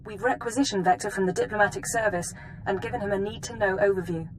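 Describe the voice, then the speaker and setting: An actress plays a sci-fi intelligence officer with a mid-pitched voice over faint noise. She is composed and precise, briefing in formal, businesslike phrases for a game cutscene. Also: fairly even intonation, fast, close to an everyday voice, rough recording. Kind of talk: video game voice acting.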